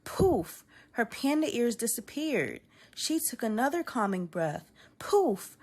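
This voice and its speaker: Woman reading a storybook softly. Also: on the high side, big pitch swings, slow pace. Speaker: teacher. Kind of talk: storybook read-aloud.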